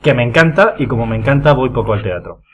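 A man's voice: medium pitch, expressive, fast talker, fairly bright tone, muffled recording. A man with a Madrid Spanish accent chatting casually with friends as a podcast panelist, emphatic and often repeating words for emphasis. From Spain. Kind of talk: casual chat among friends.

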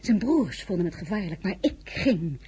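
A brave young Frenchwoman in a radio drama, spoken with a Dutch accent. Her voice is extremely breathy and husky, earnest and anxious yet stubborn. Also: on the low side, very animated, normal speed, dark tone, exaggerated voice. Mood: modest, earnest. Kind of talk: radio play acting.

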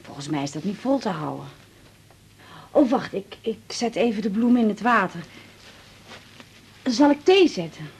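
A woman's voice: medium pitch, lively intonation, normal speed, pauses often, a little mellow, slightly rough. A middle-aged woman the playing a worried, talkative mother in a radio drama. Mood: bustling. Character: fussing, worried mother of a grown daughter. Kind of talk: naturalistic radio drama dialogue.

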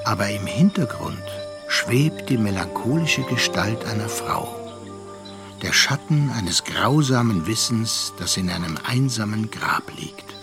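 A man's voice: fairly low pitch, lively intonation. Reflective male storyteller over music. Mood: reflective, melancholy. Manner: measured, contemplative. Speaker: narrator. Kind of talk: literary radio play narration.

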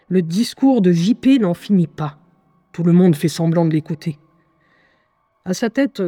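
A woman's voice: on the low side, mellow, dry recording. An actress playing a weary, sardonic woman who narrates her diary in a confiding way in a French radio drama. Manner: wry, exasperated, confiding.

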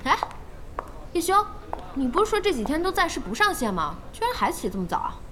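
A woman playing a spirited young gamer in an audio drama, chatty, expressive and inquisitive. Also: sits high, a little fast, slight background noise. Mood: excited, chatty. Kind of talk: audio drama acting in dialogue.

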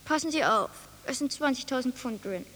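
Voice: high-pitched, animated, fairly quick, bright, forceful tone, slight background noise. An actor in a German radio play voicing an eager child who earnestly play-acts and directs the game. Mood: brisk, commanding.

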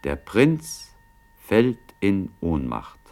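A man reading stage directions as narrator of a German classical radio drama, solemn and deliberate. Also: sits low, huge pitch swings, darkish tone.

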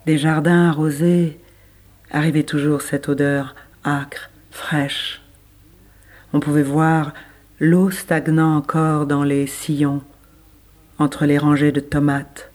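A man reading literary prose as scripted narration, with measured, deliberate phrasing. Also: fairly high pitch, little pitch movement, a little mellow. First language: French. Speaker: reader of literary text.

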